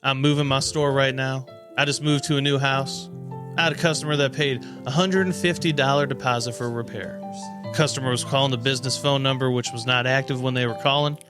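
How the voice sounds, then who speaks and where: A man performs an indignant, bullying man in a dramatic podcast reading. He is demanding and menacing, speaking in a mid-range pitch. Music plays clearly behind the words. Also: fairly even intonation, fairly quick, close to an everyday voice. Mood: indignant, defensive. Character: angry, threatening man in a dramatic reading of messages.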